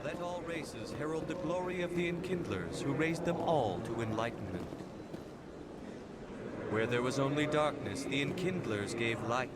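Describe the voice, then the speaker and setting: An actor playing a devout alien street preacher in a video game, proclaiming solemnly and reverently in repeated, chant-like phrases. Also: male voice, medium pitch, lively intonation, normal speed, close to an everyday voice. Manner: solemn, chanting, rhetorical repetition. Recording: loud ambient noise over the voice.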